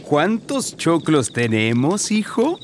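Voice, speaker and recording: Radio play dad: Chilean, reassuring, explanatory, slightly high voice, very animated melody. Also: male voice, takes it slowly, slight background noise, heavily acted. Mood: pleased. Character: kindly, reassuring father of an early farming family.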